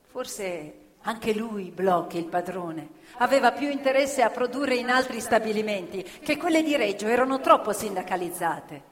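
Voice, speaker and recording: A woman acting a former factory worker and union militant, proud and grieving, in an emphatic, deliberate theatrical monologue. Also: fairly high pitch, lightly acted. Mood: bitter, explanatory. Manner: emphatic repetitions, deliberate phrasing. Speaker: stage actress in radio theatre. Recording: reverberant theatre hall, music playing along. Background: live violin music.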